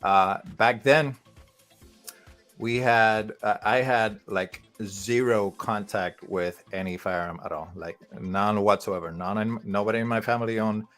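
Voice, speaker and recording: A man's voice: lowish voice, steady tone, measured pace, fairly bright tone, faint music behind. A man in his forties, from Puerto Rico, telling a casual story as a podcast guest, rambling with fillers and restarts.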